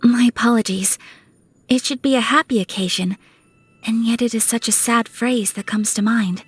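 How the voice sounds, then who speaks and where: A woman's voice: a little high, fairly even intonation, moderate pace, husky, no room sound. A woman voicing a refined, dutiful young noblewoman swordswoman from a video game, formal, poised and reserved in manner. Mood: melancholy, guarded. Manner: formal, poised, polite, softly earnest. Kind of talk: video game character voice lines.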